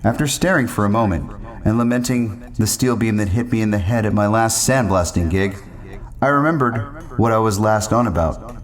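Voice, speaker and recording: A man's voice: on the low side, moderate pace. A man narrating a first-person story in a wordy, ornate and rambling manner.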